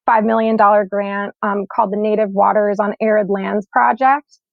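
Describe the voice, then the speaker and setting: A woman's voice: mid-range voice, normal speed, gravelly, no room sound. A young woman and climate scientist, born in Sweden and raised in New York, talking casually and reflectively in an interview with lots of "like" and "um.". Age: young adult. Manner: relaxed, reflective, heavy use of "like" and "um". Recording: dull lo-fi recording.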